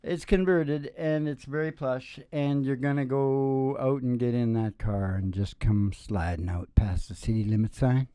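A middle-aged man with a dark, mellow voice rambles through improvised stage banter. His delivery is folksy and halting, with sentences that trail off, and the sound is close and dry. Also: medium pitch, clear, resonant tone, no room sound. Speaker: podcast host and singing DJ. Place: small indoor stage with curtain backdrop. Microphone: stand microphone, close.

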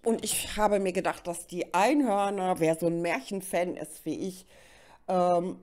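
Slow-paced, lowish-voiced woman tarot host with a cold. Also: darkish tone. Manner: chatty, direct address to the listener. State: slight cold. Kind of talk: informal tarot reading to camera. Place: indoors at a card table.

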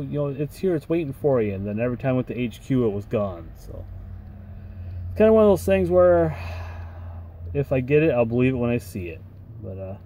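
A man's voice: mid-range voice, mellow, a little hiss or hum. A man in his forties, a truck driver vlogging in a casual, wry and grumbling way from his cab, tired after a long shift. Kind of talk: casual vlog chat. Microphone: camera at arm's length.

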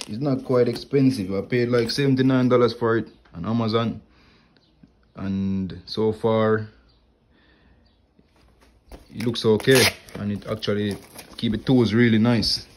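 A man who works as an HVAC technician, showing his tools in a relaxed home vlog and filming his hands with a phone. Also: mid-range voice, measured pace, pauses often, slight background noise. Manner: relaxed, plain talk with many "like" and "right here". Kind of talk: casual show-and-tell vlog. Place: indoors at home, wooden floor. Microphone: phone camera held close, filming his hands.

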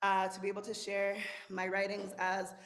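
A young woman from Zimbabwe with a raspy voice, performing spoken word poetry at a lectern microphone in an indoor room with dramatic, rhythmic delivery. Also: mid-range voice, monotone, normal speed, a little hiss or hum. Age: young adult. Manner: dramatic, rhythmic declamation with sweeping gestures. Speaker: featured poet. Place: indoor room with brick wall, standing at a lectern. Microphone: stand microphone at the lectern.

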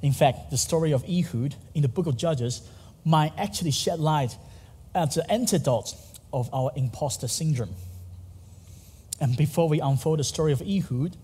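A man's voice: a little high, moderate pace, dark tone, husky. Taiwanese man preaching in a hall. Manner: earnest, gesturing while speaking. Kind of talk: sermon. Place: church stage, dark curtains. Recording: long reverb of a large hall.

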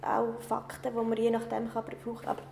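A woman, asking an interviewee polite, measured questions in an office. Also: a little high, monotone, creaky, darkish tone, reverberant. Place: office, indoors.

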